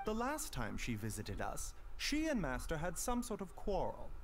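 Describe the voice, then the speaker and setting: An actor playing a gentle, secretive young male doctor in a video game, soft-spoken, formal and unhurried, with a sly edge. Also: on the high side, wildly swooping pitch, soft background music. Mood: reflective.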